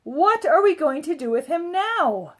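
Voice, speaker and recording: A woman's voice: high voice, big pitch swings, measured pace, fairly bright tone, voice pushed up, heavily acted. A woman voicing an exasperated, fretful mother protesting in disbelief in a children's storybook. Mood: worried. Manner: protesting, incredulous.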